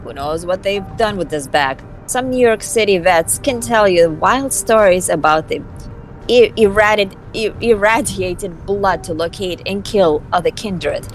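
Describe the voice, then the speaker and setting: A woman's voice: mid-range voice, measured pace, slight background noise, natural voice, poor audio. A woman voicing a flippant, scheming young vampire socialite in an amateur visual novel dub, with a snarky, theatrical delivery. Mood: wry, dismissive.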